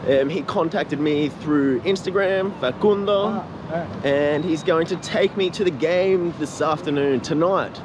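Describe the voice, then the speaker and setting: A man with an Australian accent, a native English speaker, giving excited, informal vlog commentary outdoors. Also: a little high, slow, noisy background. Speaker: travel vlogger. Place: outdoors on busy city streets. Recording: outdoor street with crowd and music behind. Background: loud crowd and music.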